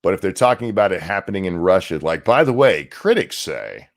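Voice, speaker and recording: A man's voice: low voice, sing-song, brisk. A man co-hosting a casual political commentary show, reading news aloud and breaking off into sarcastic, profane asides. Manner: sarcastic, swears freely, jumps from dry reading to mocking asides. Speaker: co-host.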